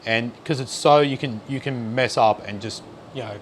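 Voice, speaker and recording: A man's voice: mid-range voice, moderate pace, slight background noise. Easygoing Australian man telling stories. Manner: easygoing, rambling storyteller. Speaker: guest, outdoor adventurer. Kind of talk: casual chat.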